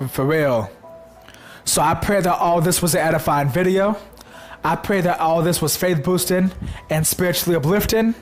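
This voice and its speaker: Hoarse dude preaching, kinda high voice, hyped and slangy, faint music under it. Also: male voice, moderate pace. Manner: excited, slangy, repeats words for emphasis. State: sore throat, hoarse. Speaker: religious video host. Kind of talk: casual preaching monologue.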